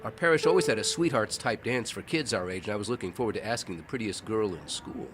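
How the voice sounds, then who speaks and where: A genial old country husband in an audio drama, telling stories of his youth. He talks fast with lively swings of pitch, folksy and fond, with music clearly playing underneath. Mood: fond reminiscing. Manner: folksy, animated storytelling. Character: genial old farmer husband reminiscing fondly. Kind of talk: family audio drama storytelling.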